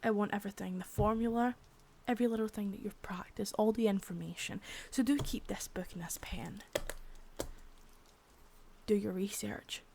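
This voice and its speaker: A woman's voice: medium pitch, mellow. A young woman playing a threatening, profane second-in-command crime boss in a whispered ASMR roleplay, close to the mic. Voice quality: husky whisper.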